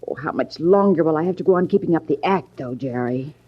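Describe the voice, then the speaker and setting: An actress playing a scheming, falsely sweet gold-digging wife, gushing and theatrical in a 1940s radio drama style. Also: female voice, deep voice, expressive, fast, breathy edge. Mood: romantic, greedy. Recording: muffled, band-limited 1940s radio broadcast.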